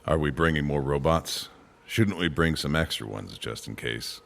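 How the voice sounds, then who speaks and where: Quiet sci-fi crewman: rock-bottom pitch, creaky, terse, reserved. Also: expressive, normal speed, exaggerated voice. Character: quiet, terse male sci-fi crew member. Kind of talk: audio drama acting.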